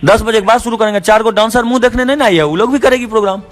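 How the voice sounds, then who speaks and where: Male, high voice, Bihari accent; insistent organizer haggling on a recorded phone call, faint music behind. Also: very fast. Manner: argumentative, insistent. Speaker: stage show organizer. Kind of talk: heated phone negotiation.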